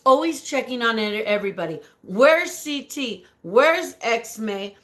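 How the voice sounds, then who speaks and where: A woman with a raspy voice chatting casually on a live stream, greeting viewers by name, giggling often and slipping Spanish words into her talk. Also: a little high, lively intonation, a little slow, fairly bright tone. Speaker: livestream host reading viewer comments.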